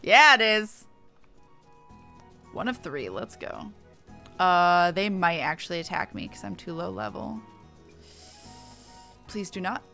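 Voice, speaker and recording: A woman's voice: on the low side, expressive, slow, creaky, pauses often, sharp tone, soft background music. A woman game streamer giving casual, playful commentary as she plays.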